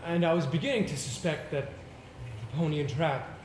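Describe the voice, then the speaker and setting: A male amateur actor plays an earnest gentleman haunted by what he has witnessed, telling it theatrically in deliberate, weighted phrases. His somewhat high voice echoes through the theatre, over faint background noise. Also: close to an everyday voice. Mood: haunted, grave. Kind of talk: theatrical amateur stage monologue. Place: theatre stage, recorded from the audience.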